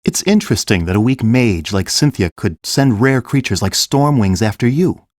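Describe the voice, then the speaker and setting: A man playing a kind, grave fantasy king in a full-cast audiobook, speaking in a gentle, measured, earnest way. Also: mid-range voice, animated, dry recording, clean studio sound, natural voice.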